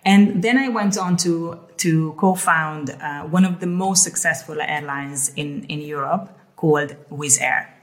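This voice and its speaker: A middle-aged woman from Hungary talks in a loose, chatty way, circling back over her words as she gathers her thoughts. Her voice sits low for a woman. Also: measured pace, few pauses. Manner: chatty, repeats words while thinking aloud. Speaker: co-host. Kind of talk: casual conversational talk.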